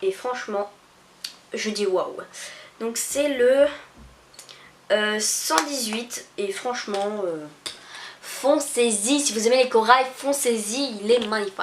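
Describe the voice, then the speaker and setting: A young woman, chatting casually through a beauty haul, full of pauses and restarts. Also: a little high, slight background noise. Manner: hesitant, many fillers and restarts. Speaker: beauty vlogger. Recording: echoey room.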